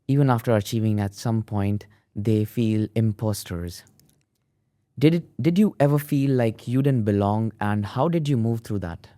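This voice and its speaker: Podcast host guy, lowish voice, dry room, keeps saying yeah that's right. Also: warm tone, no echo. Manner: agreeable, short affirmations. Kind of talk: interview questions.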